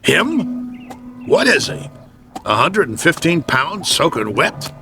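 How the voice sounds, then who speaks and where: An exasperated American ball club manager for a radio play. He is brash and sarcastic, cracking wise in slang with very lively intonation. Music plays behind him. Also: a little high, slow pace, heavily acted. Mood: mocking. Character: wisecracking, exasperated manager of losing baseball club. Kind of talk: radio drama acting.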